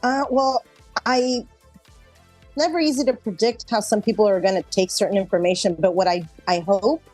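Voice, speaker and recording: A woman's voice: mid-range voice, steady tone, normal speed, darkish tone, soft background music. A middle-aged woman actor chatting casually in an interview, speaking in a reflective, conversational way.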